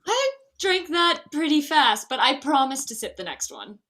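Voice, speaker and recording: Woman as effusive, tipsy bride; highish, bright, very animated, comedy script read. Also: moderate pace, full character voice. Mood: tipsy, friendly. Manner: excitable, effusive. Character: gushing, tipsy, eager bride-to-be.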